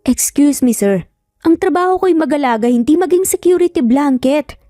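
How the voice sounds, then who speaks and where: A woman narrator plays a sassy young nanny, feisty and easily exasperated. The voice is fairly high and dramatic, recorded dry with music under it. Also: expressive, moderate pace, mellow, no room sound.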